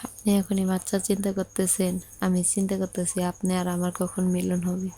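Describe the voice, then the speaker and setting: A woman's voice: on the low side, monotone, a little fast, warm tone, a little hiss or hum, natural voice. A woman playing a lovesick young bride in an audio story, pleading insistently and plaintively with a Bangladeshi accent. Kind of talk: Bengali audio story acted as a phone conversation.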